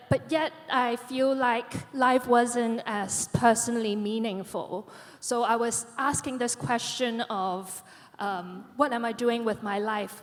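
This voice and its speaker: Earnest woman in a reverberant hall. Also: fairly high pitch. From Hong Kong. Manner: earnest, many um fillers. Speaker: panelist. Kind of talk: panel talk, personal story. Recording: long reverb of a conference hall.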